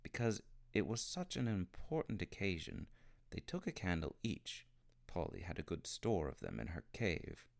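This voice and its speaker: A man reading a children's novel aloud as an expressive storybook narrator. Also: sits low, normal speed, gravelly. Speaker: storybook reader.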